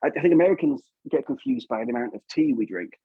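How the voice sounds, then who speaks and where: A man's voice: medium pitch, lively intonation, quick pace, slightly rough, dry recording, narrow, tinny audio. A man with an English accent chatting casually as an interview guest, informal and often tagging questions onto his remarks. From England. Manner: informal, chatty, seeks agreement with tag questions. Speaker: guest puppeteer.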